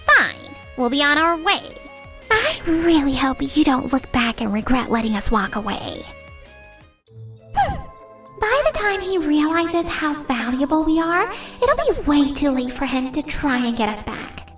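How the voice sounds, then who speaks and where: A woman playing a smug, spoiled young saleswoman in an animated manga dub, with dramatic casual phrasing. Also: high-pitched, a little slow, soft background music, heavily acted. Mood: huffy, defiant.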